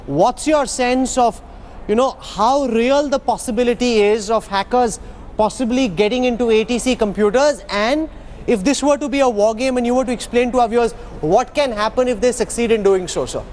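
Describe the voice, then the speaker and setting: An Indian-accented man hosts a news discussion in a distinctly high voice. He puts dramatic, probing questions to his guests. Slight noise sits behind him. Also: moderate pace, a little mellow. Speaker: news anchor. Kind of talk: broadcast news interviewing.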